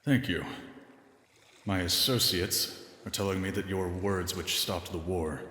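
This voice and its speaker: Guy voicing a grave earnest animal leader in a cartoon, deep punchy voice, big echoey space. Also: fairly even intonation, unhurried, sharp tone. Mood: respectful, measured. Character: solemn, reverent male animal leader seeking peace. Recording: echoey hall, faint background noise.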